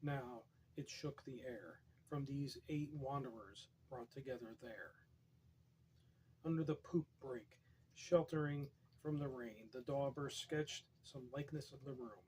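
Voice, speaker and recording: A man with a hoarse, fading voice, reading poetry aloud and drifting into wry, rambling asides, keeping his voice soft and quiet. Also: medium pitch, measured pace, slight background noise, low-quality recording. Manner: quiet, soft-spoken, wry deadpan humor. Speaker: poetry reader.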